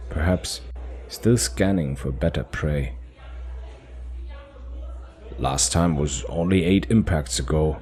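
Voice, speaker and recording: A man's voice: a bass voice, a little mellow. A man narrating a fantasy audio drama in a scripted, dramatic storytelling style.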